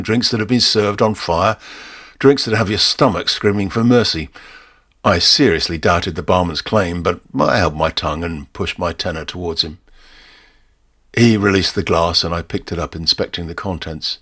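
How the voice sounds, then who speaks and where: Deep, husky male storyteller. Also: fairly bright tone. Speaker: story narrator and performer. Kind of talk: dramatic first-person scripted narration.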